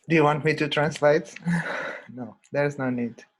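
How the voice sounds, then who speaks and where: A Portuguese native speaker sharing in a soft, earnest way on a video call. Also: mid-range voice, a little slow. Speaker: online summit participant. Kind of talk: heartfelt sharing in a group call.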